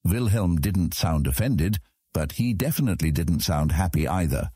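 A man narrating a fantasy audiobook in a measured, dramatic storytelling manner. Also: low voice, lively intonation, warm tone, slightly rough, no echo, studio quality.

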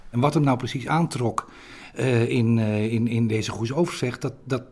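A man's voice: medium pitch, steady tone, normal speed, a little mellow. A man giving a hesitant interview, searching for words and often repeating himself. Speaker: interviewee recounting theatre history.

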